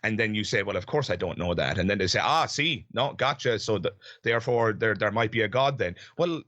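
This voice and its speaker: Deep-voiced man hosting a debate. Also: lively intonation, fairly bright tone, no room sound. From Ireland. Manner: measured, restates questions with fillers and asides. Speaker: podcast host and debater. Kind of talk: casual debate conversation.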